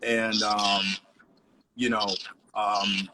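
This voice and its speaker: A man's voice: lowish voice, little pitch movement, drawn-out words, noisy background. A man, a resident DJ, telling stories in a loose, rambling way full of "you know" over a video call. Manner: laid-back, rambling, many "you know" fillers. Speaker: resident DJ guest. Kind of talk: casual storytelling interview.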